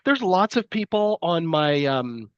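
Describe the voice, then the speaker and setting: Male lecturer, sniffly from a cold, halting delivery, close and dry, a little dull in tone. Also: a little high, sing-song, clear, resonant tone, dry recording. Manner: hesitant, many fillers and restarts. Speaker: university lecturer. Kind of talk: live-streamed lecture.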